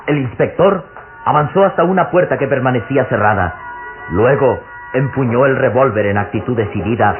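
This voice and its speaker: A man's voice: medium pitch, normal speed. A man giving dramatic scripted narration for a radio serial. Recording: tinny old radio recording, music behind.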